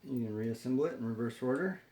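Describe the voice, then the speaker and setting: A man presenting an unscripted hands-on how-to, talking in a halting, step-by-step way. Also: medium pitch, mellow, roomy sound, a little hiss or hum, rough recording. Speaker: tutorial presenter.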